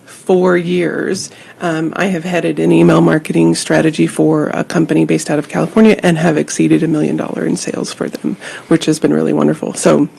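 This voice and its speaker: Raspy, gravelly woman from Georgia. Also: sits low, flat delivery, brisk, mellow. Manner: friendly, explains step by step, some fillers. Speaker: guest, email marketing strategist. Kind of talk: interview answers.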